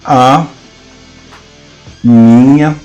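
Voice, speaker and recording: A man with a Brazilian Portuguese accent teaching a programming lesson, dictating code symbol by symbol with hesitant pauses. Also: mid-range voice, steady tone, a little mellow, faint music behind. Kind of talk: slow screen-cast coding lesson, dictating code aloud.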